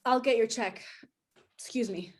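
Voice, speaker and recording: A woman playing a nervous, polite waitress who hides her strain, soft-spoken and hesitant, in a rehearsed screenplay read. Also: fairly high pitch, unhurried. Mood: uneasy.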